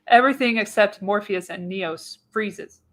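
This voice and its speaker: A woman with a mid-pitched voice narrates a radio play. She reads screenplay directions aloud as scripted narration, now and then stumbling over a word. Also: normal speed.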